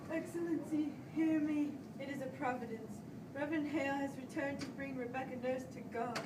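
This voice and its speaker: An anxious Puritan man of the church played by a woman, high in pitch, stammering and pleading with his superiors. The amateur drama is heard from far off over clear background noise. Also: steady tone, brisk, close to an everyday voice. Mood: urgent, deferential. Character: anxious, distraught Puritan minister, a grown man. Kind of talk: amateur stage-play acting. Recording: echoey room, far mic, hissy noise.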